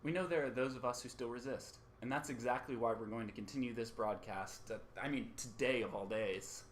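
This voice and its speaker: A man's voice: mid-range voice, brisk, natural voice. Earnest radio co-host played by a man, faint noise. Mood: earnest pleading. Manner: earnest, placating. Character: earnest, conciliatory male co-host of a struggling radio station. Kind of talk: amateur radio play, broadcast narration.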